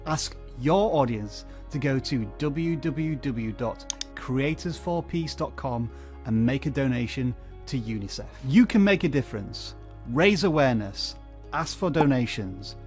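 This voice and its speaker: A man's voice: mid-range voice, animated, measured pace. A man reading a scripted charity appeal in an earnest, urgent way. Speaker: charity campaign narrator. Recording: music bed under the voice.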